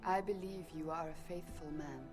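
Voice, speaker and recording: An actress playing a stern, earnest, devout warrior woman in love, speaking in a grave, formal, measured way in video game dialogue. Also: lowish voice, steady tone, a little mellow, soft background music, lightly acted. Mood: earnest, solemn.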